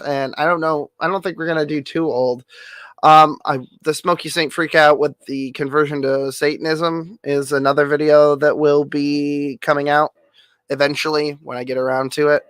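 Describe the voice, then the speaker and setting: A man streamer with a nasal, hoarse voice, rambling through a casual update full of fillers and offhand swearing. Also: on the high side, fairly bright tone, no echo. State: hoarse, losing voice.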